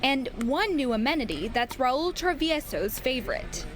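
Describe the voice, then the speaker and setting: A woman's voice: high-pitched, big pitch swings, moderate pace, background noise. A woman working as a TV news reporter, delivering a scripted local story. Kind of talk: scripted news package narration and live report.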